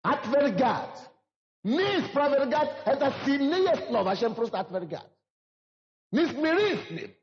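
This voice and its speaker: High-voiced preacher in a reverberant hall. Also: male voice, big pitch swings, a little slow. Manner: preaching with rising emphasis and repetition. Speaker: pastor. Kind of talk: sermon. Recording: dull lo-fi hall recording.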